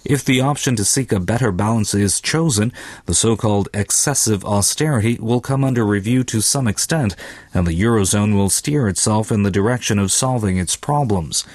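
A man's voice: fairly low pitch, moderate pace, darkish tone, dry recording. A man reading scripted economic commentary for a radio news programme.

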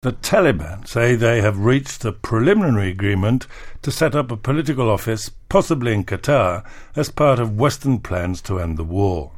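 A man reads the news for radio in a somewhat low voice. His delivery is scripted, in the style of an international bulletin. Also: lively intonation, moderate pace. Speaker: radio newsreader.

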